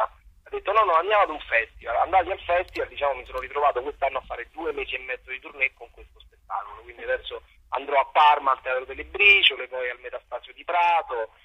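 A man's voice: mid-range voice, fast, husky, lo-fi sound. A middle-aged man speaking conversationally as a guest theatre director, searching for his words and repeating himself. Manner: hesitant, reflective, repeats words while searching for phrasing. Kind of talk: conversational interview.

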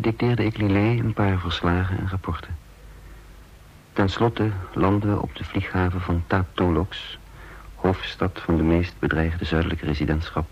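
An actor playing a brooding, guarded undercover patriot who narrates his own story in a deliberate, confiding Dutch radio drama style. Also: deep voice, husky. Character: brooding undercover patriot man, self-reproaching, tense and guarded. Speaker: narrator and lead character of a radio serial. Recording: dull, noisy old radio recording.

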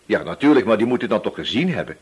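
A man's voice: lowish voice, very animated, quick pace, husky, heavily acted. A man playing a wily, relentless police inspector in a radio drama, feigning casual chat and probing until he accuses. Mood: deceptively casual. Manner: probing, hesitant feints turning accusatory.

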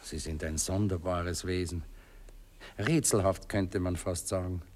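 A man's voice: deep voice, steady tone, unhurried, a little mellow. An actor in a German radio play, a man playing a polite, flustered aristocratic count who is hesitant, courteous and gushing with admiration. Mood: hesitant, admiring.

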